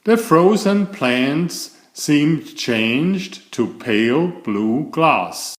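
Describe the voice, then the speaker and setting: A man reading a children's bedtime story aloud in a deliberate, expressive way. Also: a little high, echoey room. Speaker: storyteller, children's author and channel host.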